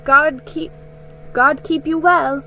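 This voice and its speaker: Lady doing a nice kindly neighbour woman in a kids story, slow talking, bit high, encouraging. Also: steady tone, dull audio, slight background noise. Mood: warm farewell.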